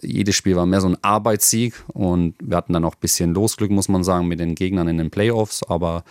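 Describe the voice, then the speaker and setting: A man in his thirties from Germany, a football player answering interview questions in a relaxed, hesitant way with frequent fillers. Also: low voice, brisk, smooth, no echo, clean studio sound. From Germany, near Nuremberg. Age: 30s.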